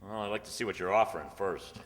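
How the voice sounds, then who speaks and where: Man acting a low-voiced hard-boiled detective, echoey hall. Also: fast, lightly acted. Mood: guarded. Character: tough undercover police detective posing as a drug buyer. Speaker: actor and first-person narrator in a radio drama. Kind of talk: old-time radio crime drama acting. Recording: echoey hall, music behind.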